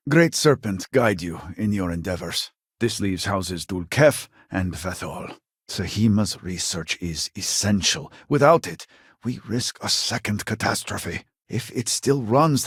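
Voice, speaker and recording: A man's voice: on the low side, husky, no echo, clean studio sound. An actor playing an elderly, proud and impatient male scientist in a video game, speaking in a formal, theatrical way. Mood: grave, hopeful. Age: older.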